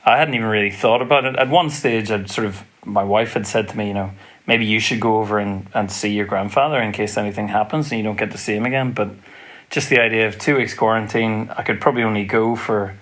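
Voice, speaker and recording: A man co-hosts a casual podcast in a fairly low voice. He talks in an easygoing, teasing way, relaxed as he tells a personal story. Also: fast. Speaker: podcast co-host.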